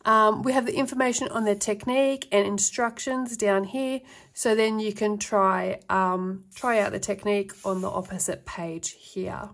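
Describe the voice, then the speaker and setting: A woman giving a casual flip-through review of an art workbook, reading passages aloud and adding her own notes with relaxed fillers. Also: mid-range voice, normal speed.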